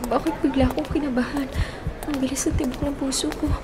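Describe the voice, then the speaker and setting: Woman acting anxious Filipino girlfriend, higher pitched. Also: level tone, fast, noisy background, lightly acted. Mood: nervous, flustered. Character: anxious, doubting young girlfriend, guarded but smitten. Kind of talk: Filipino radio drama acting. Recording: music playing behind the voice.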